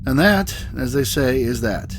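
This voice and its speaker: A calm starship captain acted by a man in a sci-fi radio play. He speaks at a medium pitch with measured authority, and there is clear ambient noise behind him. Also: lightly acted. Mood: brisk, authoritative. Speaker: audio drama actor. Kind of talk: scripted sci-fi audio drama.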